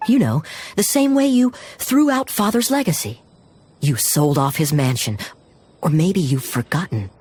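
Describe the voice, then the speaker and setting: A woman's voice: low voice, big pitch swings, measured pace, a little mellow, slight background noise. A woman voicing a sly tavern drinker with elegant airs in a video game, teasing with suave, barbed politeness. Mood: barbed, goading.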